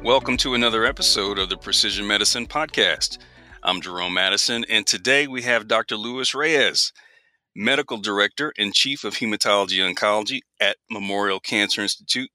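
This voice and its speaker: A man hosting a podcast, asking his guest questions in a measured way with a few fillers. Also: fairly low pitch, sharp tone, no room sound, studio quality. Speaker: podcast host. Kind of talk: podcast interview.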